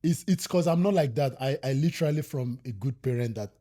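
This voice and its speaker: A man's voice: mid-range voice, fairly quick. Nigerian man, thirties, podcast co-host, chatty storyteller, laughs a lot. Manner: animated storyteller, laughs often. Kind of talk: casual chat.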